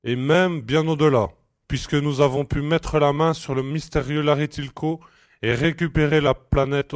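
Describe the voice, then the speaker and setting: A man playing a courteous, commanding space admiral in a science fiction audio drama, speaking formally and in measured phrases. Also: on the high side, no room sound, studio quality, natural voice.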